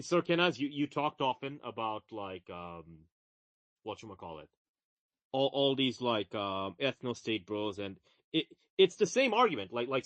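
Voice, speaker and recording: A man's voice: mid-range voice, a bit bright, no room sound. A young man who grew up in Bangladesh, talking emphatically in casual political chat, repeating words and checking in with "right?". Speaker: podcast co-host.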